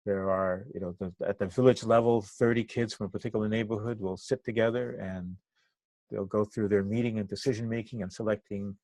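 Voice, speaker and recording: An older man originally from Cuba, native in Spanish, speaks as an interview guest in a low voice. He thinks as he goes, breaking phrases with pauses and picking them up again. The sound is dry and close, with little room in it. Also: steady tone, darkish tone, dry recording, rough recording. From Cuba, moved to the US at eight. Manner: halting, thoughtful phrasing with pauses mid-sentence. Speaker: interview guest, activist and trainer. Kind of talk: reflective interview answers.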